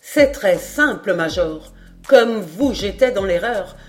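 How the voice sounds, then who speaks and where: A woman voicing a confident, excitable French male geographer in an emphatic, declamatory storybook manner. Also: medium pitch, takes it slowly, soft background music. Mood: fervent conviction. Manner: emphatic, declamatory, self-assured. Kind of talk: expressive audiobook character reading.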